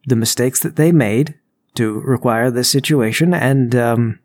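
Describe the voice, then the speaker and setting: A man voicing a nervous burglar in a tabletop game, halting and stammering as he bluffs and over-explains. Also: medium pitch, darkish tone, natural voice. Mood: bluffing, earnest.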